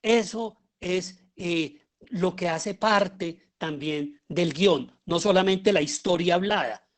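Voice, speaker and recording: A man with a Colombian accent giving an explanatory lecture as a teacher, often pausing and posing rhetorical questions. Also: sits high. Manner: halting, with pauses and rhetorical questions. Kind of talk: explanatory lecture on writing audio fiction.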